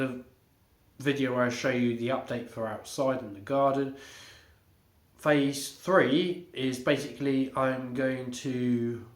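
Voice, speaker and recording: A man vlogging a casual home update, a little congested from illness, rambling with halting restarts and fillers. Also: mid-range voice. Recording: echoey room.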